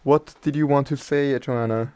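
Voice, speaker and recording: Slow, easygoing male radio host. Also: mid-range voice, steady tone, darkish tone, dry recording. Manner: loose, rambling, easygoing. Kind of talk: casual live radio chat. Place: radio studio. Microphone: studio mic, wearing headphones.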